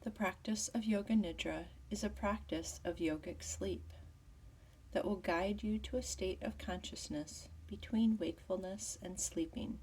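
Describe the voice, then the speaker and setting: A woman teaching yoga nidra as a guided meditation, with a soft, breathy voice and soothing, measured instruction. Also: mid-range voice, far from the mic, poor audio.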